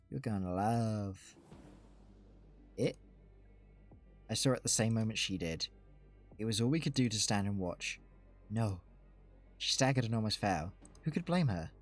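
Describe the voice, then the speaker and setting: Low, husky man narrating a visual novel. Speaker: streamer reading a game aloud. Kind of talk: dramatic first-person narration of a visual novel.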